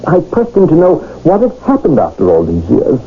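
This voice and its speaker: An actor playing an earnest, mild London shopkeeper husband in a radio drama, hesitant and pleading, with stammers. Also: male voice, on the high side, wildly swooping pitch, normal speed, phone-quality sound, soft background music. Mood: distressed, bewildered. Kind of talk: 1970s radio drama acting. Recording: thin, noisy old radio broadcast.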